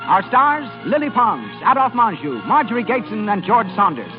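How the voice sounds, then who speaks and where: A man's voice: high voice, sing-song, moderate pace. A man announcing formally on a 1930s radio show, polished and declamatory. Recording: muffled 1930s radio broadcast, loud music.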